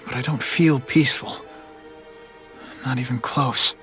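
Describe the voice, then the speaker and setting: A man's voice: medium pitch, steady tone, unhurried, a bit bright, faint music behind, close to an everyday voice. An actor playing an earnest, wry young man, a superhero in a video game cutscene. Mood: grim, resolved. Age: young adult.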